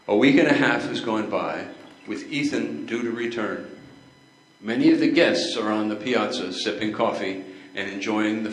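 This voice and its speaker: Casting: senior male narrator for a play reading, somewhat low voice, reading stage directions in an echoey room. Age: older.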